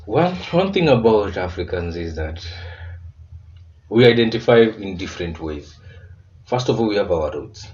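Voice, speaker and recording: A man with a Kenyan accent hosting a reaction video in casual commentary, relaxed and searching for words with emphatic bursts. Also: fairly low pitch, moderate pace, pauses often. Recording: distant muffled mic with faint hiss.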